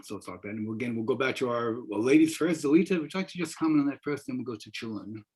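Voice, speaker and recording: A man's voice: medium pitch, expressive, fast talker, a little mellow, husky. A man in his sixties moderating an informal panel on a video call, encouraging and rambling, often repeating words. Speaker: moderator, professor. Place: wood-paneled room indoors.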